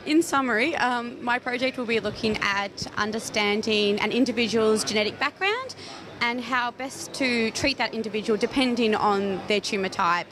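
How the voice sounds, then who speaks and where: A woman, a medical researcher giving explanatory answers in a news interview. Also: fairly high pitch.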